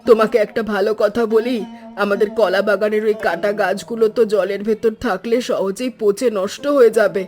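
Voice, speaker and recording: Cartoon village wife, acted by a man: high voice, fretful yet caring, soft music underneath. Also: steady tone, fairly quick, lightly acted. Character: anxious, caring village wife named Sarojini. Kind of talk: animated moral story dubbing.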